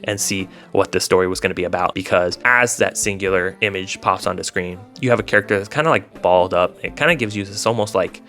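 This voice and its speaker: Low-voiced man in casual rambling commentary. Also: fast talker, few pauses, bright, forceful tone. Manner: rambling, hedging, informal. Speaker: video host reviewing visual novels. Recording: voice over background music. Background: music.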